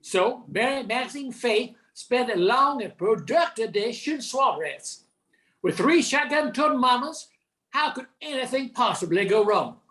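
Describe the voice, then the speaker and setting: A woman's voice: a little high, expressive, unhurried, a bit bright, roomy sound, rough recording. An older woman reading scripted narration for a radio play.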